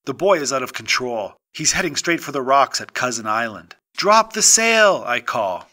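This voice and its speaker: A man reading a short story aloud as an audiobook narrator, with a measured, dramatic delivery and pauses. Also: mid-range voice, expressive, pushed and bright.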